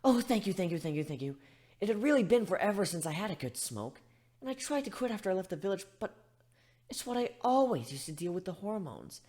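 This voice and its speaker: A man voicing an excitable, grateful female cat in a dramatic story reading, with a gushing manner. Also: high-pitched, brisk. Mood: gushing, relieved.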